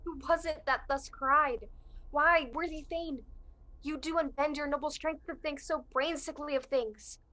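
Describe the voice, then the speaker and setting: A woman's voice: high-pitched, fairly even intonation, a little slow, bright, forceful tone, poor audio. A young woman playing a steely, scornful noblewoman who commands her partner in murder, in emphatic amateur Shakespearean verse. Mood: urgent, scolding. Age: young adult. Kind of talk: amateur student Shakespeare performance.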